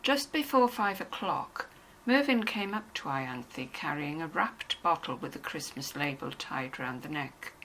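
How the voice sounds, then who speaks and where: A woman's voice: low voice, animated, measured pace, fairly bright tone, rough recording. A woman narrator reading a novel in a scripted, storytelling style. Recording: faint background noise and ambience.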